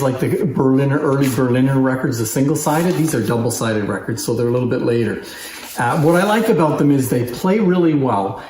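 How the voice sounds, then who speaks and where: A man presents in a casual, unscripted, conversational style with some enthusiasm. His voice sits at a middling pitch, and the room adds a clear echo around it. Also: darkish tone, a little hiss or hum. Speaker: antique phonograph collection presenter. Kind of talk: casual unscripted demonstration talk. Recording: roomy echo.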